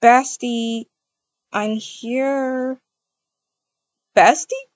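High-pitched young-sounding woman, muffled dry mic. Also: fairly even intonation, extremely slow, no echo. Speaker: video creator acting out a roleplay. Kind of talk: improvised game roleplay and casual chat to viewers.

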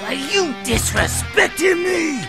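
Hotheaded game monster thug, swings wildly up and down, slow and menacing. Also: male voice, very high-pitched, very animated, music in the background, full character voice. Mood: menacing, angry. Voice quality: gravelly. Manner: menacing, boastful, drawn-out delivery. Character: brutish hot-headed male monster henchman eager to fight. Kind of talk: animated video game acting.

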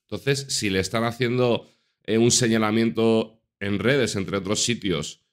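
A man's voice: on the low side, measured pace. A man in his thirties giving emphatic, indignant reaction commentary into a desk microphone in a small room. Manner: indignant, emphatic, rhetorical questions. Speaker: commentary youtuber. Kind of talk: reaction commentary over a TV clip. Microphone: desk microphone on a stand.

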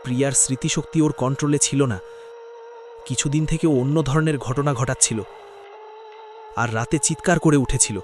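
A man playing an earnest young man who warns and explains gravely in a cartoon drama. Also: mid-range voice, fairly even intonation, a little fast, pauses often, darkish tone, soft background music, lightly acted. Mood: earnest concerned. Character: earnest, urgent young man warning his friend.

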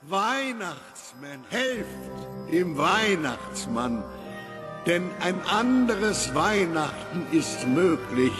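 A grandiose, jovial Santa Claus from an audio drama. He declaims slowly and with big swings of pitch. The voice rings in long reverb over music. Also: very animated, heavily acted. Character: booming, theatrical Santa Claus rallying boys.

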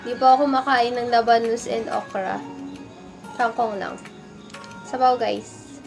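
A woman's voice: a little high, steady tone, unhurried, music in the background. A young woman hosting a casual cooking vlog, chatty, polite and playful, often giggling as she talks to the camera indoors. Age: young adult. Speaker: cooking vlogger. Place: dark indoor room, seated at a table.

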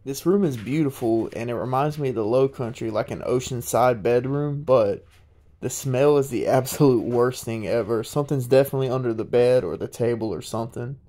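A young man, narrating a casual, excited walkthrough of an abandoned house. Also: medium pitch, brisk. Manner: excited, marveling, chatty. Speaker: urban explorer vlogger. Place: inside an abandoned old house.

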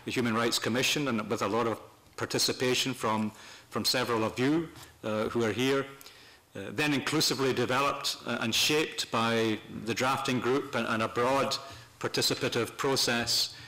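An older man presenting semi-formally to a meeting, speaking off the cuff in a measured, halting way with pauses mid-phrase. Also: mid-range voice, fairly even intonation, bright, forceful tone, rough recording. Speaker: panel speaker at a human rights meeting. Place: parliament committee room, indoors. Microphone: desk gooseneck microphone.

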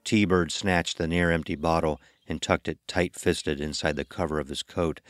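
Male narrator for scripted horror fiction, exceptionally deep register, backed by music. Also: no echo.

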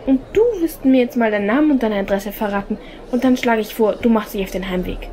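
An actress playing a stern, officious theatre usher in an audio drama, curt and authoritative. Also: female voice, a little high, fast talker, natural voice. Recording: faint background noise.

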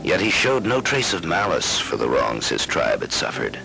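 A man narrating an epic poem in a solemn, theatrical, measured delivery. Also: deep voice, huge pitch swings, vocal fry, poor audio. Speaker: voice-over announcer reading an epic poem. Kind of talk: dramatic poetry narration. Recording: music bed under the narration. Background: loud music.

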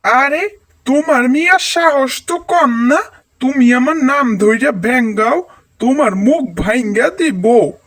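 A man's voice: very high voice, slow pace, fairly bright tone, full character voice. A man playing a quarrelsome, aggrieved villager in a cartoon. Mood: angry, threatening. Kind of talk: cartoon dialogue.

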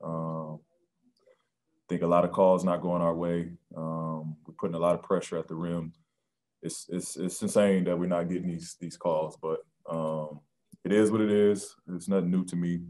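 A man in his thirties answering postgame questions at a press conference, soft-spoken and halting with many ums and restarts, on a video call. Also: sits low, flat delivery. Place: press room with sponsor backdrop.

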